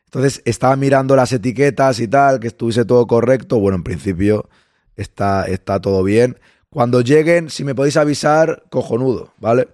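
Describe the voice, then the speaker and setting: Hoarse Spanish man chatting live. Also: medium pitch, a little mellow, no echo, clean studio sound. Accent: Spain Spanish. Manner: chatty, greets chat members by name. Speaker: livestream host. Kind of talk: casual live chat with viewers.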